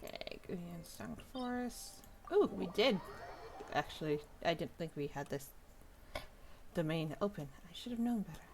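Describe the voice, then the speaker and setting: A woman's voice: on the low side, animated, normal speed, noisy background. A woman game streamer giving casual live commentary as she plays. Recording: game music behind voice.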